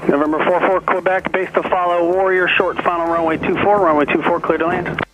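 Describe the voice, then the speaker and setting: Brisk high-voiced male tower controller. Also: soft background music, poor audio. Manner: brisk, clipped instructions with relaxed asides. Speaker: air traffic controller. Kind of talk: radio air traffic control calls.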